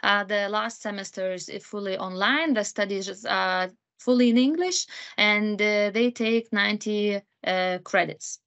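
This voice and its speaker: A woman introduces a university program to prospective students. She talks in a halting way, filling her pauses with frequent "uh"s, and her pitch sits in an ordinary middle range. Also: fairly bright tone, smooth. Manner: hesitant, many fillers. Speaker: program coordinator. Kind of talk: informational presentation.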